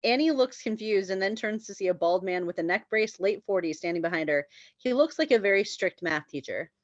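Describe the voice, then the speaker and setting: A woman's voice: medium pitch, animated, fairly quick, no room sound, muffled recording. A woman narrating screenplay stage directions at a table read.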